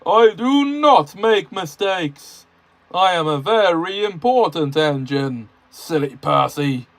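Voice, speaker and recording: A man's voice: sits high, animated, slow pace, pitched above the performer's own voice. A man voicing Gordon, a pompous, boastful male steam engine who whines and sulks. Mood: indignant. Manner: whiny, indignant, boastful.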